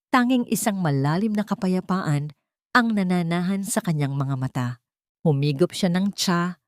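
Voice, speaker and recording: Filipino female storyteller for scripted drama narration, slightly low voice, dry studio-like sound. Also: a little mellow, dry recording.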